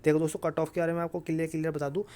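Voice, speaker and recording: A man's voice: on the high side, flat delivery, racing, darkish tone. A man with a North Indian accent explaining updates informally to viewers, repetitive and insistent, mixing in English words. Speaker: exam-update YouTuber.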